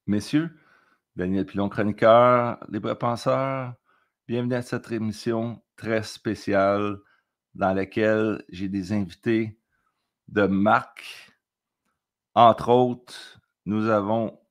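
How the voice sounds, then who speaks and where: A man hosting an interview, speaking slowly and hesitantly with a Québécois French accent, switching between French and English. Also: fairly low pitch. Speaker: host, columnist.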